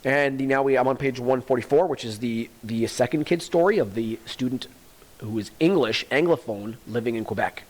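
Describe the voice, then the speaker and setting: A man's voice: medium pitch, normal speed, darkish tone, rough recording. A man, a teacher reading a textbook aloud to students and explaining it plainly, repeating the key points.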